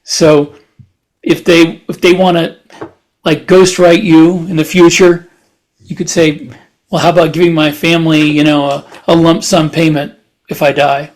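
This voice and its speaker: A man co-hosting a casual podcast, giving practical advice in a measured, conversational way. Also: a little high, flat delivery, poor audio. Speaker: podcast co-host.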